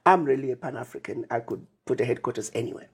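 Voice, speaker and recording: A woman's voice: rumbling low, quick pace, husky. A woman from Zimbabwe, an interview guest who speaks emphatically, laying out plans as lists and retelling conversations. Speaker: interview guest, Pan-African advocate.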